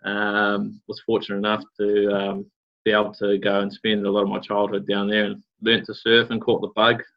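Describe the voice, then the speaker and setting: A man's voice: on the low side, monotone, moderate pace, muffled recording. A man from New Zealand with a New Zealand accent, chatting casually as an interview guest in a laid-back, rambling way full of fillers and slang. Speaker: guest, surf business founder.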